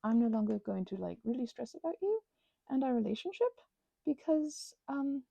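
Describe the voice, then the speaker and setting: A woman with a breathy, soft-edged voice rambling intimately and casually, halting and interrupting herself as she reflects. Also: fairly high pitch, very animated, no echo. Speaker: craft vlogger and ASMR creator. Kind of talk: rambling, intimate casual chat while crafting.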